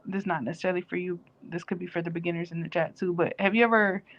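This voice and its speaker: A woman co-hosting a casual live-stream chat, telling stories in a lively, animated way. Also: on the low side, rapid-fire, highs cut off.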